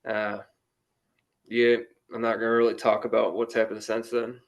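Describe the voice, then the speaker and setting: A man's voice: lowish voice, completely flat delivery, normal speed. A man of about thirty-one chatting casually as a guest sports fan, with a rueful, self-deprecating humour. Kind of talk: casual chat.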